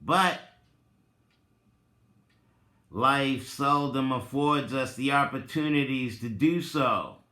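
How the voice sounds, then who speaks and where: A man giving a dramatic reading, theatrical and deliberate, with drawn-out phrases and long pauses. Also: mid-range voice, pushed and bright. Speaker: reader and commentator, presenter of the recording. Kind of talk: dramatic reading with informal asides. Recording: roomy, echoing sound.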